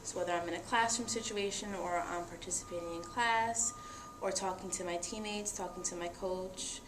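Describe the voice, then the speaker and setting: A woman's voice: lowish voice, fairly even intonation, normal speed. A young woman talking earnestly and reflectively about herself in a conversation. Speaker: interviewee. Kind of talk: personal testimony in conversation. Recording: echoey room with faint noise.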